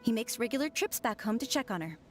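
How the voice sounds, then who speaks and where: A woman's voice: fairly high pitch, lively intonation, fast talker, natural voice. An actress playing a lovesick, gossipy young woman in a game, with a chatty and indignant manner. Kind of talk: game voice acting. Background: game music.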